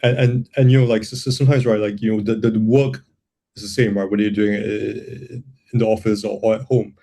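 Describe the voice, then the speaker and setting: A young man from Singapore, a lawyer and software builder, explaining his views in a casual interview, with many restarts and repeated words. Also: fairly low pitch, fairly even intonation, a little fast, gravelly. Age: young adult.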